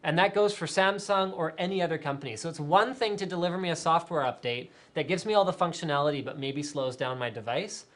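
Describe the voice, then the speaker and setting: A talkative man hosting a live tech stream, reading out viewer questions and riffing on them. He speaks casually with plenty of fillers and restarts, energetic and opinionated. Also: on the high side, quick pace, hardly any pauses. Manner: energetic, chatty, many fillers. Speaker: tech show host.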